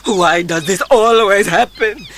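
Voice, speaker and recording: A woman's voice: medium pitch, measured pace, a bit bright, a little hiss or hum, natural voice. An actress playing a passionate young woman who cries and laughs through her sobs in a radio drama. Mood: tearful, bitter. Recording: radio drama with ambient sound effects.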